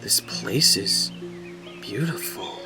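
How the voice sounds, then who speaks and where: A man's voice: lowish voice, lively intonation, slow, creaky, over music, full character voice. A man voicing a sarcastic, foul-mouthed young man turned lizard creature in a dramatized audiobook, talking to himself. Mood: awed. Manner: exclamatory, swearing, talking to himself.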